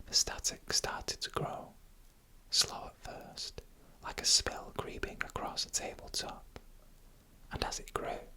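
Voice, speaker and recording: ASMR horror storyteller: fully whispered, bright sibilant breath, hushed deliberate delivery, close-miked for headphones. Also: low-quality recording. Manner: hushed, deliberate, short phrases with pauses. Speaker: ASMR narrator. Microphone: close microphone made for headphone listening.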